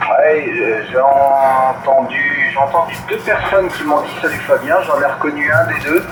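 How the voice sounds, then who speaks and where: Guy chatting on cb radio, loud static, echoey, hesitant, keeps repeating words. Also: on the high side, steady tone, moderate pace. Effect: CB radio transmission. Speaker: CB radio operator. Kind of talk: casual radio chat. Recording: very noisy echoey CB radio signal.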